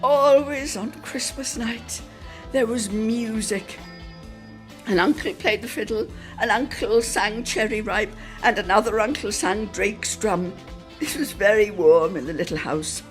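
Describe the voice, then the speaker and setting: A woman giving a dramatic literary reading, measured and expressive, lingering on her phrases. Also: medium pitch, soft background music. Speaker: dramatic reader.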